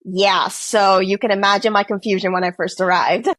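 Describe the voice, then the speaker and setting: Teen girl guest, lively storyteller, mid-pitch, dry close sound. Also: moderate pace, dry recording. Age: teens. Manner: animated, storytelling with quoted voices. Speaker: exchange student guest. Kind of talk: casual interview conversation.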